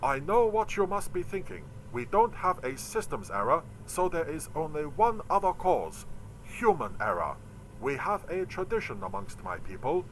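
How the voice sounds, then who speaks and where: Man doing a high-pitched solemn space lieutenant. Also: very animated, moderate pace, a little hiss or hum, rough recording. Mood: solemn, resigned. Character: solemn, honour-bound male space station lieutenant.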